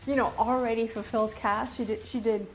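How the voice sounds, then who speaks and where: High-voiced woman giving an enthusiastic review. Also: fairly even intonation, brisk, faint music behind, low-quality recording. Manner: enthusiastic, emphatic, repeats phrases for emphasis. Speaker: movie reviewer, video host. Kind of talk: casual unscripted review to camera.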